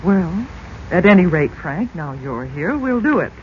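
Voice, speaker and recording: A woman's voice: fairly low pitch, very animated, unhurried, a little hiss or hum. An actress playing an anxious middle-aged mother of two teenagers in 1940s radio drama style, on a dull recording. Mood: uneasy, yielding.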